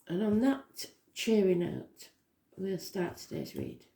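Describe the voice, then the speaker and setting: A woman with a slightly croaky voice giving a daily tarot reading, talking softly and slowly with many pauses. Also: mid-range voice, huge pitch swings, reverberant, slight background noise. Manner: slow, soft and unhurried, with pauses and fillers. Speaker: tarot reader.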